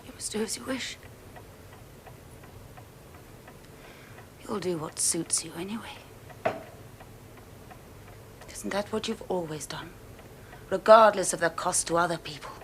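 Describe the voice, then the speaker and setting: A woman's voice: fairly high pitch, lively intonation, moderate pace, noisy background, close to an everyday voice. An actress playing a proud young woman who answers a suitor with cold contempt in a radio drama. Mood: contemptuous. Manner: cold contempt, clipped and cutting.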